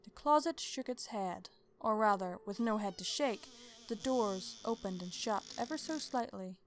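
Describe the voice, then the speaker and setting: A woman narrating a story as host, in an expressive, measured, dramatic storybook style. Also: mid-range voice.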